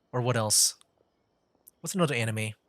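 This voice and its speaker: A man's voice: mid-range voice, a bit bright, no room sound. A man giving casual live gameplay commentary as a streamer, rambling and feeling for his words. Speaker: video game streamer.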